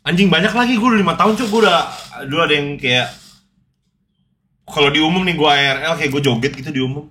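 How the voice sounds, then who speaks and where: A man's voice: on the high side, fast, slight background noise. A man in his late twenties, a guest streamer, chatting casually with heavy slang and frequent swearing. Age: 20s. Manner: animated, swears often.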